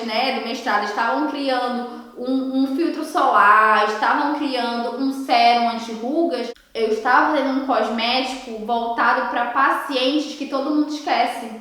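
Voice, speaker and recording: High-voiced woman in an echoey room. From Ceará, Brazil. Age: 20s. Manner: animated storytelling, frequent tag questions to the audience. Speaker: beauty therapist speaker at an online conference. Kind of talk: online conference talk, personal story.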